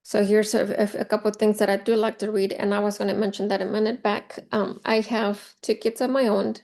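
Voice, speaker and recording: Woman in her forties, Spanish accent, native Spanish speaker, teacher trainer, medium pitch, conversational, emphatic repetition. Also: level tone, brisk, gravelly, darkish tone. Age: 40s. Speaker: teacher trainer leading a professional development session. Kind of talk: informal presentation to teachers.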